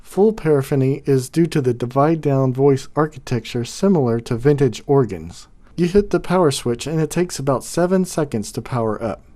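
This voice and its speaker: A male reviewer narrates a scripted product review from his home studio. His tone is mellow and soft-edged, and his phrasing is casual and folksy. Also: mid-range voice, expressive, normal speed.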